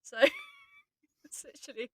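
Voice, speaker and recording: A woman's voice: high voice, wildly swooping pitch, slow pace, no echo, clean studio sound. A woman writer and co-host explaining things informally in a casual discussion, often breaking off mid-thought. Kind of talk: casual behind-the-scenes discussion.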